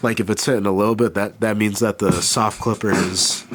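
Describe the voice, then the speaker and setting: Low-voiced man, stuffy from a cold. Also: very noisy. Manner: relaxed, halting, thinks aloud while working. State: has a cold, nasal. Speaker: beatmaker demonstrating gear. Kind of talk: casual hands-on tutorial. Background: beat playing behind.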